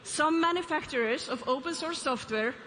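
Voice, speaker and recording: A woman's voice: high voice, moderate pace, bright, forceful tone. A woman from Iceland with an Icelandic accent, giving a conference keynote and asking the audience questions. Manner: asks the audience questions, light asides. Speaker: keynote speaker, CEO. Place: large conference stage. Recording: reverberant hall, faint ambience.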